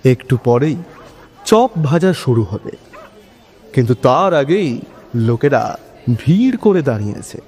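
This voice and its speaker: A man with a West Bengal accent narrating a scripted love story, expressive and conversational, speaking straight to listeners. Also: mid-range voice, measured pace, long pauses. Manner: expressive, conversational, with rhetorical questions. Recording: long reverb with music behind.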